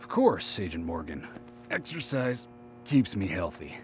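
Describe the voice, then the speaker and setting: A man's voice: low-pitched, sing-song, unhurried, a little hiss or hum. An actor playing a blunt, proud male deputy devoted to his workouts in a video game cutscene. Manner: blunt and proud, almost boastful. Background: game music.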